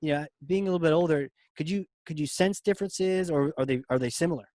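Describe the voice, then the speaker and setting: A chatty, enthusiastic male podcast host with a fairly high voice. His sound is close and dry, with no room around it, and he keeps stumbling into fresh versions of his questions. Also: fast, a little mellow, no echo. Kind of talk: conversational interview.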